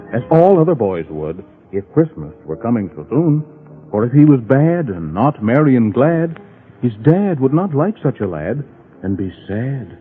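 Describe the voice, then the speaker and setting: A man's voice: mid-range voice, lightly acted. A man playing a whimsical, sing-song storybook narrator in a 1930s children's radio program. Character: playful storybook narrator of a children's Christmas tale. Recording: tinny old 1930s radio recording.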